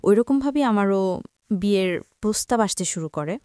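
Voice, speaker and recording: Young woman, Bangladeshi Bengali accent, smooth clear tone, close dry sound, interview guest telling personal story. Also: medium pitch, expressive, moderate pace, warm tone, dry recording.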